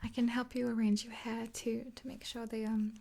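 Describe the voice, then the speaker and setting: Softly whispering young woman, ASMR. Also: a little high, level tone. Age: 20s. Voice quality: breathy, unvoiced whisper. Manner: gentle, unhurried, reassuring. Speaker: ASMR performer playing a makeup helper. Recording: hissy close-up with clicks.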